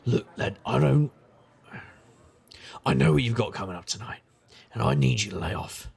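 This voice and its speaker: An actor playing a gruff, world-weary middle-aged private investigator in an audio drama, narrating with wry noir weariness in a gravelly voice. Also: male voice, mid-range voice, lively intonation, moderate pace, darkish tone, full character voice. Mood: agitated, urgent.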